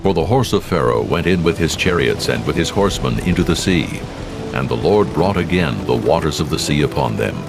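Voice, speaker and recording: A man narrating scripture in a solemn, measured, scripted dramatic style. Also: extremely low pitch, lively intonation, gravelly, over music.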